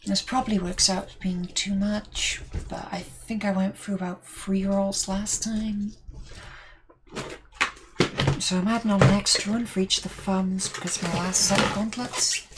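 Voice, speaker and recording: A woman's voice: mid-range voice, little pitch movement, a little slow. A woman with a Welsh accent, chatting casually with viewers while building cosplay armour. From Wales. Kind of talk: casual build stream chat. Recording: noisy home workshop recording.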